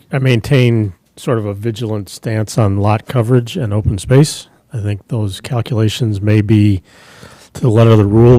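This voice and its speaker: A man with a low voice, a board member giving measured, hesitant comments during deliberation at a public meeting, speaking into a desk microphone. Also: mellow, no echo. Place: council meeting room.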